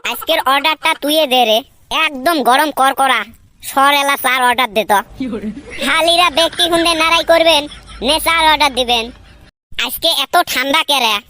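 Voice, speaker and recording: A man voicing a cheeky, grumbling village lad in a comedy cartoon, teasing in a northern Bengali Rangpur accent through a pitched-up filter. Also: moderate pace, pushed and bright. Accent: northern Bengali (Rangpur region). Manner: teasing, colloquial banter.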